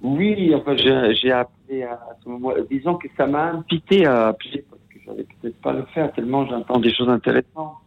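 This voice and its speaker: A man from Italy whose first language is Italian, calling a radio show from home. He talks in a hesitant, halting way and often restarts his sentences. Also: medium pitch, creaky, husky. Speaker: radio caller, musician. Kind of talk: phone-in call to a radio show. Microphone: phone. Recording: tinny phone line.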